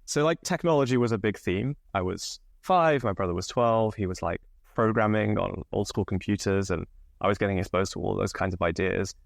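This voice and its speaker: A man in his thirties with an English accent, slightly northern, telling stories from his life as a podcast guest, relaxed with lots of fillers. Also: lowish voice, a little fast, creaky, no echo, clean studio sound. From England. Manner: relaxed, many fillers, laughs often. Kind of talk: candid conversational storytelling.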